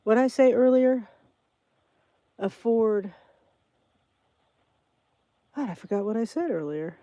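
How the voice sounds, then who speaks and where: A woman's voice: a little high, expressive, takes it slowly, warm tone, no echo. An older woman vlogger with a congested head cold, chatting in a rambling, thinking-aloud way and often restarting her sentences. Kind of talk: casual rambling chat to camera.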